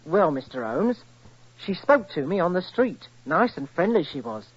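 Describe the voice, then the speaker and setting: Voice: mid-range voice, big pitch swings, moderate pace, slightly rough. A performer playing an eager, polite young Cockney errand boy in a 1940s radio drama. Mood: eager, impressed. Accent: London Cockney. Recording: muffled 1940s radio recording.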